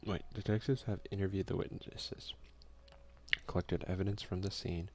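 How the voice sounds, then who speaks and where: A soft-spoken man doing an ASMR let's play, reading game text aloud and commenting in a hushed voice that at times slips into a whisper. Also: low voice, fairly even intonation, normal speed, noisy background. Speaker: gaming video creator and player.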